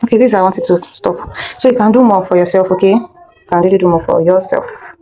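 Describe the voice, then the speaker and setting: A woman giving a casual online editing tutorial, thinking aloud and muttering haltingly as she works. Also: mid-range voice, warm tone. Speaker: instructor of an online video editing class.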